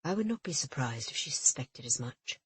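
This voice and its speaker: A woman voicing a calm, smooth-talking Englishman. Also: medium pitch, brisk, no room sound, pitched below the performer's own voice, full character voice. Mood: calm, measured. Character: calm, smooth-spoken male ship's officer.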